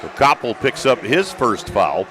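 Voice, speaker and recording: A man's voice: mid-range voice, big pitch swings, unhurried, a crowd in the background. An American man doing live play-by-play radio commentary of a basketball game from courtside in a gymnasium. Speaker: play-by-play sports announcer. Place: courtside in a packed school gymnasium. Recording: gym background noise. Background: gym ambience, bouncing basketballs.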